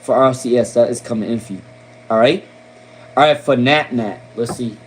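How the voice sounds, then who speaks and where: A man's voice: mid-range voice, moderate pace, a little hiss or hum. A man with a Long Island accent giving a casual live-stream tarot reading. He repeats names and questions often, says "um" a lot, and ends his points with "okay?" and "all right?". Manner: casual, chatty, lots of "um". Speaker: tarot reader.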